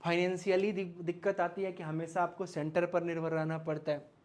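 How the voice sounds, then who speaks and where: A man's voice: high voice, flat delivery, a little fast, darkish tone. A man with an Indian accent giving an informal explanatory lecture as a teacher, often posing questions and checking in with listeners. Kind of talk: informal explanatory lecture mixing Hindi and English.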